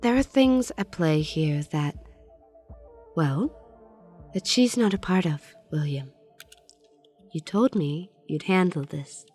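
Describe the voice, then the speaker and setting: Woman acting rich villainess in an audio drama, lowish voice, measured and quietly threatening, bit of music behind. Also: animated. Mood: quietly menacing. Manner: measured, philosophical, veiled threats. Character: cool, menacing, wealthy woman manipulator, erudite and controlled.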